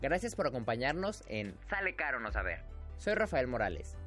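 A man's voice: mid-range voice, big pitch swings, normal speed, music in the background. A man hosting an interview, polite and formal, agreeing with his guest. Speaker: podcast host.

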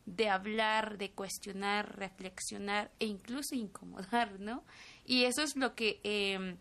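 A woman's voice: mid-range voice, fairly even intonation, measured pace, creaky, fairly bright tone. A woman in her twenties, a native Tzotzil speaker from Chiapas, answering interview questions thoughtfully with frequent fillers and tag questions. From Chiapas, Mexico. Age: 20s. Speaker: filmmaker guest.

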